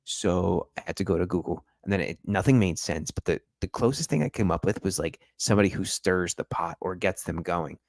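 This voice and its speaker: Casting: male stream host, very low voice, dry close sound, chatty and analytical. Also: brisk, vocal fry, few pauses, no echo. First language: English. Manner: chatty, analytical, addresses viewers directly. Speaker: football podcast host. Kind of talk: live-stream match review.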